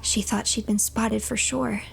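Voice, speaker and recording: High-pitched woman narrating a story, slightly creaky. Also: steady tone, unhurried, slight background noise. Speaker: streamer narrating game playthrough. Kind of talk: scripted third-person story narration over gameplay.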